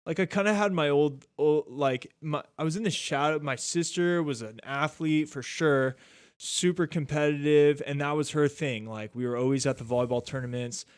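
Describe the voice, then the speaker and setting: A man's voice: a little high, normal speed, smooth, no room sound, studio quality. A man in his thirties hosting a podcast, chatting casually in a laid-back, rambling way that is full of "like" and "dude". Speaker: podcast host. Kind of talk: casual chat.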